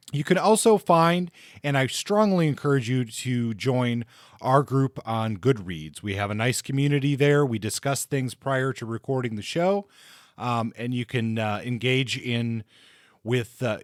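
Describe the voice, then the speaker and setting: A man's voice: mid-range voice, dry recording, clean studio sound. A man co-hosting a podcast, discussing books in an earnest, explanatory, conversational way. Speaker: podcast co-host.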